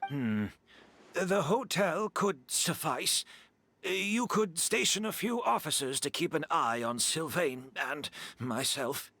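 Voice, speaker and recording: Sad frail perfumer guy, earnest, lively pitch. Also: slow pace, no echo, heavily acted. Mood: resigned. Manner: calm, confessing, earnest.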